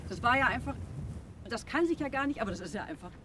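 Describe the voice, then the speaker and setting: A woman's voice: fairly high pitch, lively intonation, fast talker, background noise, close to an everyday voice. An actress playing an overwhelmed, nerdy female environmental official in a radio play, stammering, repeating and breaking off. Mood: awestruck. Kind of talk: naturalistic radio play acting.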